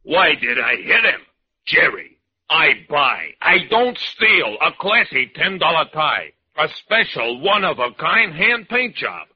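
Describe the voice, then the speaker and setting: A man's voice: a little high, big pitch swings, slow pace, husky, voice pushed up, full character voice. A man voicing a big, indignant, thick-headed hoodlum with blustering gangster slang in a 1940s-style radio crime drama. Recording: dull old radio recording.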